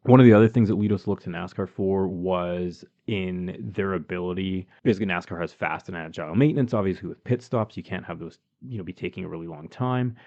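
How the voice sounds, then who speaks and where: Man, Canadian accent, mellow low voice, chatty explainer, podcast co-host, slightly dull lo-fi sound. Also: a little fast, hardly any pauses. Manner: explanatory, chatty, with many ums and you-knows. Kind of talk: casual podcast chat.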